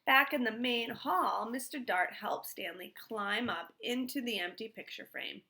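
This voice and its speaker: A woman reading a children's book aloud in an expressive storytelling style. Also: on the high side. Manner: pauses to put questions to young listeners. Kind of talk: expressive read-aloud narration.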